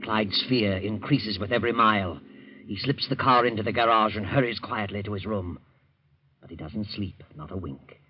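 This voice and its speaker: A man playing an ominous, all-knowing mystery narrator who speaks slowly and tauntingly in 1940s radio style, on a dull old recording. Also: on the low side, breathy edge, dry recording.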